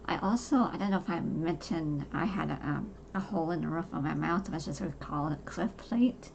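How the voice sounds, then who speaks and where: A soft-voiced woman in her forties, talking casually and haltingly about her own life, with many fillers and restarts. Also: deep voice, animated, gravelly, a little hiss or hum. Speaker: podcast host. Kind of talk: casual personal talk to camera.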